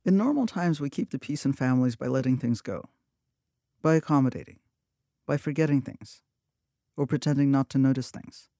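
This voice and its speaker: A narrator from Russia telling a story in a measured, matter-of-fact way. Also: male voice, mid-range voice, lively intonation, vocal fry, warm tone, no room sound, clean studio sound. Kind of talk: scripted radio narration.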